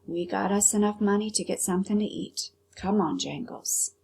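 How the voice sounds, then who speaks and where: A woman's voice: medium pitch, normal speed, gravelly, a little mellow, breathy edge. A woman voicing a poor, polite street boy from a storybook. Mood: matter-of-fact. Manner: plain, deferential, folksy. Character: poor street boy, polite, humble, folksy Southern kid.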